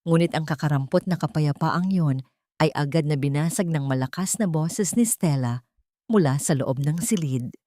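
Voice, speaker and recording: A woman's voice: low voice, moderate pace, warm tone, no echo, clean studio sound. A woman narrating an emotional audio story in a dramatic, scripted style.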